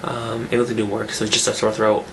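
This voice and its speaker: Man, rough voice from a cold, rambling chat, lowish voice, noisy recording. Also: completely flat delivery, fast, fairly bright tone. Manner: rambling, chatty, restarts sentences. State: getting over a cold, rough voice. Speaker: fitness vlogger. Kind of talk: casual weekly update vlog.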